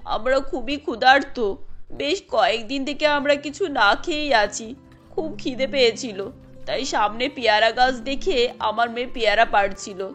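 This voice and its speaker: A woman's voice: on the high side, normal speed, lightly acted. Humble, plaintive village mother, acted by a woman. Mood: humble pleading. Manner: gentle, imploring, plaintive. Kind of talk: cartoon story dialogue. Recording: music bed under the voice.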